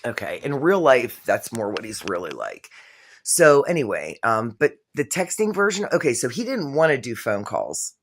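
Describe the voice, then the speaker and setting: A middle-aged woman with a very low voice tells a personal story to camera in a casual, rambling way, full of fillers and self-corrections. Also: very animated, normal speed, slightly rough. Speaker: vlogger telling her own story.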